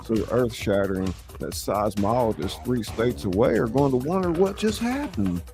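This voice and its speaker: A man's voice: mid-range voice, sing-song, moderate pace, over music. A man hosting a live show, announcing from a script with theatrical, emphatic showman delivery. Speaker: show host and narrator.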